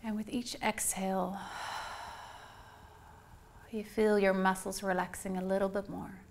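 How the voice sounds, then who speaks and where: Woman doing guided meditation, soothing and unhurried, kinda even tone w lots of vocal fry. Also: medium pitch, slight background noise. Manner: soothing, unhurried, gently instructing. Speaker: meditation guide, yoga instructor.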